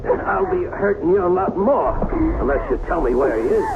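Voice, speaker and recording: An actor playing a jealous, violent sea captain in an old radio drama, gruff and menacing with old-fashioned speech. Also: male voice, high voice, expressive, fairly quick, narrow, tinny audio. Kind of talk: 1970s radio drama acting. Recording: noisy vintage radio recording.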